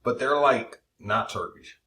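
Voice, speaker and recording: A man's voice: medium pitch, unhurried, bright, forceful tone. A man trading casual banter as a comedy podcast co-host, with dry, teasing wisecracks. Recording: roomy, echoey podcast sound.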